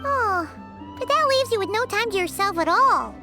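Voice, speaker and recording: A woman voicing a lively, curious young girl companion in a video game, chatty and playful. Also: very high voice, normal speed. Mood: sympathetic. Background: music.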